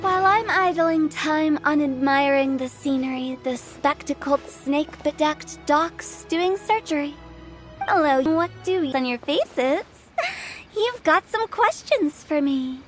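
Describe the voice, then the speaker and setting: A woman's voice: high-pitched, animated, measured pace, faint music behind. A woman voicing a quirky, playful young woman in an anime game, breezy, wordy and theatrical. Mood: playful, teasing. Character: playful, quirky young female funeral parlor director.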